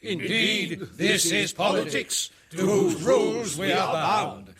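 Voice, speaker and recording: A man's voice: sits high, expressive, takes it slowly, crowd noise. A man playing a self-important parliamentary chairman in a satirical radio drama, declaiming with mock solemnity. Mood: pompous.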